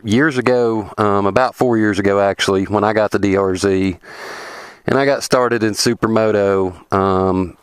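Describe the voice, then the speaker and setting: A man with a Southern US accent giving a rambling, relaxed how-to talk outdoors on a remote forest road, a little congested from a cold. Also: fairly low pitch, clean tone, no echo. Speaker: motorcycle vlogger. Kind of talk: casual how-to talk to camera. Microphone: helmet camera.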